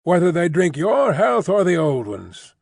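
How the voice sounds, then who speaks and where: A man voicing a gruff, blunt manservant with a hoarse voice. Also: sits high, sing-song, unhurried, no room sound, studio quality, full character voice. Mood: grumbling.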